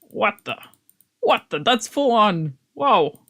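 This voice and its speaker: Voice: mid-range voice, big pitch swings, takes it slowly, fairly bright tone. A streamer with a slangy, sweary way of talking, chatting casually and reading visual novel text aloud.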